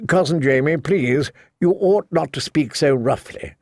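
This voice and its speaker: A man's voice: a little high, unhurried, a little mellow, dry recording, clean studio sound. A man voicing a timid, ingratiating noble knight who pleads and placates, in an audiobook. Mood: anxious, placating. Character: timid, fawning noble cousin, a male knight.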